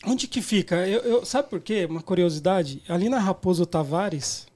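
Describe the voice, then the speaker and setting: A man's voice: sits high, moderate pace, a little mellow. A man with a São Paulo Brazilian accent co-hosting a podcast in casual chat, teasing and upbeat with plenty of slang. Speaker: podcast co-host.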